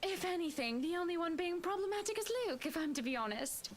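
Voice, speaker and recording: Woman putting on a very high-pitched genteel lady. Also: expressive, fast, slight background noise. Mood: gracious, chatty. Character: genteel, chatty wealthy married lady.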